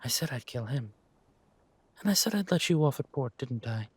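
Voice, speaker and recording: A man playing a suave, ruthless villain lord in an audio drama, mock-polite and menacing, with a husky voice and drawn-out delivery. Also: medium pitch, animated, no room sound. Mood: silky threatening.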